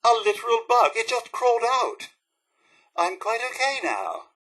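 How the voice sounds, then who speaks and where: A fussy, husky British robot butler. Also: male voice, very high-pitched, sing-song, unhurried, sharp tone, heavily acted. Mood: relieved, composed. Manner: fussy, melodramatic, formal wording. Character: anxious, polite robot butler, prone to panic. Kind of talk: video game character voice lines.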